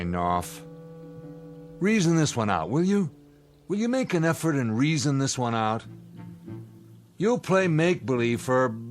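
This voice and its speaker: An actor playing a blunt, sardonic spaceship commander in a radio drama, with a commanding, scornful, plain-spoken manner. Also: male voice, medium pitch, close to an everyday voice. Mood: pleading, exasperated.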